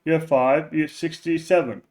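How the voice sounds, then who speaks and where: A man's voice: fairly even intonation, normal speed, a little mellow. Rambling man lecturing, voice filtered. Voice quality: says his voice is very deep. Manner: rambling, informal, many fillers and restarts. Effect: neural voice normalizing filter. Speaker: professor. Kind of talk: casual recorded class lecture.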